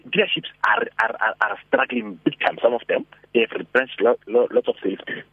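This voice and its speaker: A man speaks in a husky, gravelly voice, full of animated persuasion and rhetorical questions. His pitch leaps up and down as he pushes his point. The sound is thin and dry, like a phone call. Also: a little fast, no echo. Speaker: radio guest speaker. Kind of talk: motivational phone-in talk. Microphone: telephone. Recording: tinny dry phone line.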